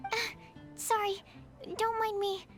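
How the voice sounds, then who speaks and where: Very high-voiced, shy young bookish alchemist. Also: female voice, flat delivery, slow pace. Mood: earnest, admiring. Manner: nervous, hesitant, apologetic, polite. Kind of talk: video game character acting. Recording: soft game music behind.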